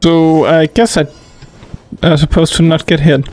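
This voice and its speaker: A woman's voice: sits low, little pitch movement, measured pace, a little mellow. A woman giving casual commentary while she plays a video game. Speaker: gamer playing and commenting.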